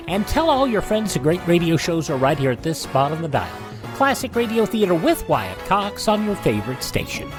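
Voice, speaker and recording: Chatty man hosting classic radio. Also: a little high. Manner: folksy, chatty. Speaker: radio host.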